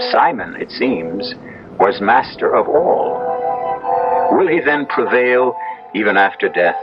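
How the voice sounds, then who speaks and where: Man, low voice, ominous scripted mystery narration, deliberate phrasing, dull vintage radio sound, music behind.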